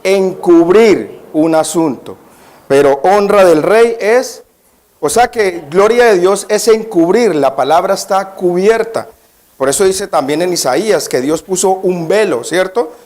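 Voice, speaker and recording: A man's voice: high-pitched, animated, measured pace, darkish tone. Nervous guest preacher, a man, questioning the flock. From Colombia. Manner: nervous at first, then emphatic and questioning the congregation. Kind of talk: church sermon.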